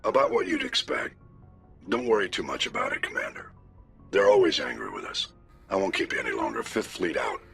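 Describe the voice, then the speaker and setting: An actor playing a gruff, formal senior military commander in a video game, with a gravelly, husky voice. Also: male voice, deep voice, huge pitch swings, a little fast, a bit bright, faint music behind, full character voice. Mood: wry reassurance. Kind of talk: video game cutscene acting.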